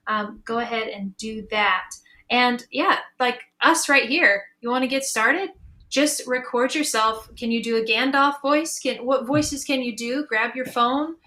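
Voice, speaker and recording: A woman's voice: on the high side, bright, forceful tone. A woman speaking casually on a panel, chatty and encouraging as she explains things and takes questions. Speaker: audio drama creator and panelist taking audience questions.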